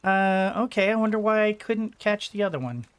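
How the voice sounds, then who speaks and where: Soft-spoken woman narrating her gameplay. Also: mid-range voice, animated, normal speed, smooth. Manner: gentle, thinking aloud while playing. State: slightly congested throat. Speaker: gaming YouTuber. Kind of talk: let's-play commentary.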